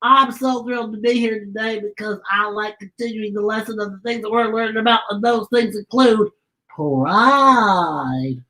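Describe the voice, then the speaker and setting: A woman voicing an eager, childlike talking book puppet on a children's show, excitable and repetitive. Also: mid-range voice, normal speed, natural voice, rough recording. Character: eager, hungry talking storybook puppet friend.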